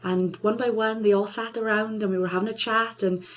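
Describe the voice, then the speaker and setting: A woman's voice: medium pitch, fairly even intonation, brisk. A woman singer and charity ambassador chatting in an interview, bubbly and enthusiastic, laughing often as she talks. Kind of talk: casual interview chat.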